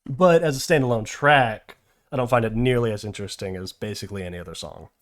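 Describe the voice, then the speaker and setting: A man's voice: lowish voice, quick pace. A man hosting a casual album-review podcast, talking loosely with lots of fillers and playful asides, his voice worn from a long session. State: losing his voice. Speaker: podcast host. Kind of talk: casual music review chat.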